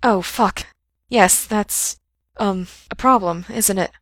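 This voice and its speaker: Woman reading as a gentle, soft-spoken doctor. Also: mid-range voice, no echo. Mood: flustered. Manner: soft and measured. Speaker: reader voicing a character.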